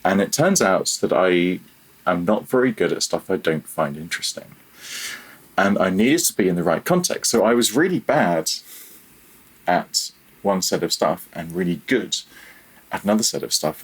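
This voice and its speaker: A man's voice: deep voice, lively intonation, normal speed, breathy edge, low-quality recording. A man speaking casually and reflectively as a podcast guest, hesitant and self-deprecating in how he phrases things. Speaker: podcast guest, freelance audio developer.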